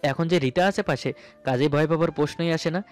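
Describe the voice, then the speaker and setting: A man's voice: fairly high pitch, brisk. A man narrating a romantic story dramatically in the first person, as its male lead. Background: background music.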